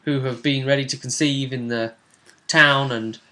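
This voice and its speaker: A man's voice: mid-range voice, steady tone, moderate pace, pushed and bright. A man chatting casually as game master, recapping a tabletop role-playing session and acting out bits of dialogue.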